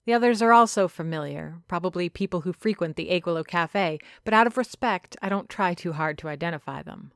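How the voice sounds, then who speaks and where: A woman narrating a first-person story from a script, reflective and measured, with dramatic phrasing. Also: fairly low pitch, fairly bright tone, no echo, studio quality. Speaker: narrator of a fiction podcast.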